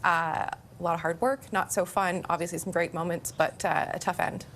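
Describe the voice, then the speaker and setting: A woman giving political commentary on a TV panel in a relaxed, conversational way. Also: fairly low pitch, moderate pace, a little hiss or hum.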